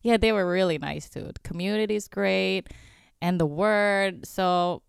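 A woman in her thirties from Indonesia, telling her story as an interview guest in a soft-spoken way with frequent laughter. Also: medium pitch, unhurried, creaky, clear, resonant tone, no echo, clean studio sound. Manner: soft-spoken, laughs often, short replies. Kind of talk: casual interview conversation.

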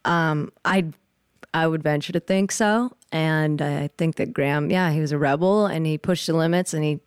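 A woman's voice: deep voice, normal speed, smooth, dry recording, clean studio sound. A woman singer being interviewed, chatting casually in an earnest, thoughtful way. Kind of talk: casual chat.

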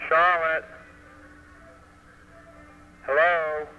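A man's voice: on the high side, level tone, drawn-out words, soft background music. A man leaving phone messages on a muffled old answering-machine tape.

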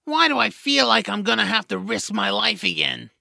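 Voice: mid-range voice, animated, normal speed, vocal fry, bright, forceful tone, dry recording, clean studio sound, full character voice. A performer voicing a scrappy little troll, an anxious and reluctant hero who grumbles, in an audio drama. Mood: grumbling.

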